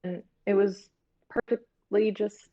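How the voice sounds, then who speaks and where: An American woman from Florida talking casually and candidly as an interview guest, in a halting storytelling way. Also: mid-range voice, steady tone. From Florida, United States. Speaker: guest, horror series producer. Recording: dull, lo-fi audio.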